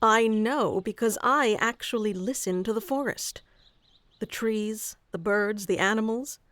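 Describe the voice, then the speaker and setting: A woman's voice: medium pitch, measured pace, a bit bright, natural voice. A woman playing a haughty, easily flustered young female elf guarding her forest in a scripted fantasy roleplay, with prickly, old-fashioned wording. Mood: stern, suspicious. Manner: prickly tsundere, archaic wording, stammers when flustered.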